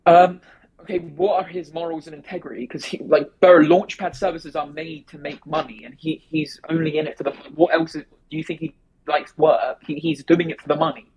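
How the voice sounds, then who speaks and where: A man talking fast and rambling in a casual remote interview, with many restarts. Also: fairly high pitch, little pitch movement, a little mellow, low-quality recording. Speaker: interview guest.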